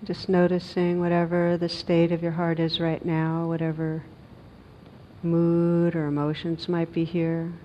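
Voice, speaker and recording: A woman guides a meditation in a very slow, even voice. She is gentle and unhurried, leaving long pauses between short phrases. The recording is a little dull, with a faint noisy rumble. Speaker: meditation teacher.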